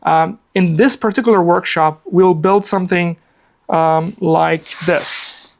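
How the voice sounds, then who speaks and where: A man's voice: high-pitched, steady tone, slow, creaky. A man in his forties from Afghanistan leads a workshop in a meeting room, speaking in a chatty, upbeat way. He fills gaps with easy fillers and sits at a laptop, picked up by a camera across the room. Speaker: workshop presenter. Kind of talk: casual live presentation.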